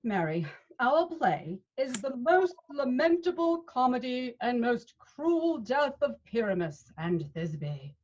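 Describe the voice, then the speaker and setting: Woman playing organizer of amateur players, patient, instructing, lively intonation, Shakespearean, muffled video-call audio. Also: fairly high pitch, slow, hardly any pauses, exaggerated voice. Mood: businesslike. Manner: expressive, instructing. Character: Peter Quince, a patient, organizing male leader of amateur players. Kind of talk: Shakespeare table read over video call.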